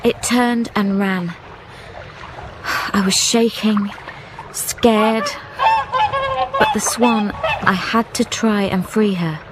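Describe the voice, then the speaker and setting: A woman's voice: mid-range voice, background noise, close to an everyday voice. A woman playing a haunted, stubborn young widow in a radio drama, speaking intensely in short broken phrases. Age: young adult. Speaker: actor and narrator in a radio drama. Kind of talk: dramatic first-person narration and dialogue. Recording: radio drama with music behind.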